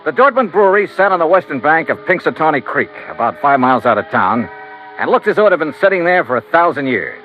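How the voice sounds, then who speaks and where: A wry male investigator from a vintage radio mystery. His delivery is crisp and clipped, with lively swings in pitch. It is a lo-fi recording with music behind it. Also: fast talker. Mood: matter-of-fact. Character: wry, unflappable male freelance insurance investigator. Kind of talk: 1950s American radio drama, first-person narration and dialogue.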